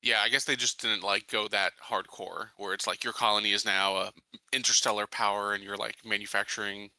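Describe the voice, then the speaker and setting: Very bright, forceful low-pitched man. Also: flat delivery, fairly quick, no room sound, low-quality recording. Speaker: tabletop game player. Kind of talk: casual game discussion.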